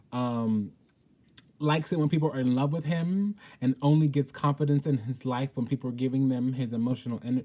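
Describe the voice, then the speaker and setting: A man with a soft-edged, mellow voice at an average pitch hosts an informal tarot reading. He talks bluntly and sassily, swearing freely. His delivery is emphatic and theatrical. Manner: blunt, sassy, profane. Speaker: tarot reader, host.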